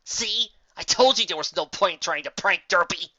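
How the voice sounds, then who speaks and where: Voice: medium pitch, moderate pace, bright, forceful tone, dry recording, muffled recording, pitched above the performer's own voice. A reader voicing a brash, mischievous female pegasus prankster with a cocky, flippant manner. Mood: exasperated.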